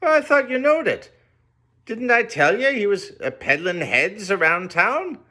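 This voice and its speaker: A man's voice: sits high, sing-song, a little slow, bright, forceful tone, pitched above the performer's own voice, full character voice. A man voicing a grinning, easygoing male innkeeper with a folksy, drawling way of talking. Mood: teasing.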